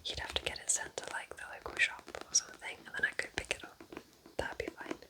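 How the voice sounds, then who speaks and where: A woman whispers breathily in a gentle, chatty ASMR roleplay as a kind witch at home in her cabin. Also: quick pace. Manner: gentle, chatty, informal asides.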